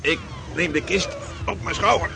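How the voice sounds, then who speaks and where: Creaky, sceptical townsman. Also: sits high, normal speed. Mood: weary, firm. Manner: dismissive, no-nonsense. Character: gruff, sceptical working husband in old Amsterdam. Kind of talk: period radio drama acting. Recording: noisy outdoor street scene with crowd.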